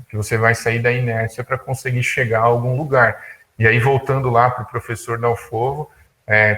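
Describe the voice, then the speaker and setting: A man of about thirty gives an informal talk, colloquial and halting, often repeating words as he thinks. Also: medium pitch, pushed and bright, poor audio. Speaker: guest speaker, tech entrepreneur. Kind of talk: informal talk at an online conference.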